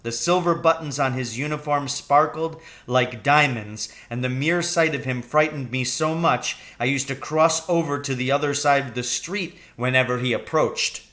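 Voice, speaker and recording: A man reading a children's story aloud as narrator, in an expressive storytelling style. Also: medium pitch, normal speed, few pauses. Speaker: audiobook reader.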